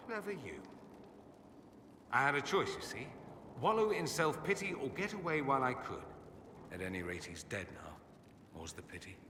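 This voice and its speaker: A suave, self-flattering noble mage for a fantasy game. His voice is very bright and crisp-edged, swooping theatrically with dry wit. It rings in a reverberant hall. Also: medium pitch, normal speed, pauses often, a little hiss or hum. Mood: regretful, reflective. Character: witty, vain aristocratic male mage, sardonic rebel exile. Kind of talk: video game dialogue acting.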